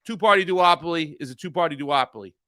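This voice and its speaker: A man co-hosting a political podcast, animated and profane, mocking others in put-on voices. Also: a little high, fast, no echo, studio quality. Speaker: podcast co-host. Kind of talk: casual political talk show.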